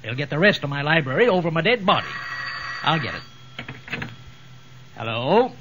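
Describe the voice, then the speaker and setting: An actor playing a vain, blustering, middle-aged American husband in a 1940s radio comedy. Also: male voice, a little high, sing-song, moderate pace, pushed and bright. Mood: flustered. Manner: boastful, flustered, combative. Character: blustering, vain small-town husband and prominent citizen. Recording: muffled, noisy 1940s radio recording.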